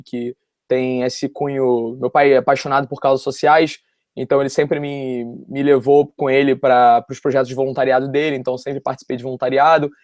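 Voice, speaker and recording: A man's voice: medium pitch, darkish tone. Young guy w rio accent, casual rambly storytelling, dry sound no room echo. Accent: Rio de Janeiro Brazilian Portuguese. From West Zone of Rio de Janeiro, Brazil. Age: early 20s. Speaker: interview guest, university student. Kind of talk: casual personal storytelling in an interview.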